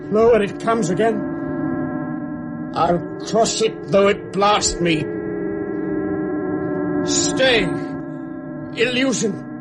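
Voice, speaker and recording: An actor playing an educated, steadfast gentleman in a classical Shakespearean radio drama, speaking formal declamatory verse. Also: high-pitched. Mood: urgent, commanding. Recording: 1970s radio drama with background sound effects.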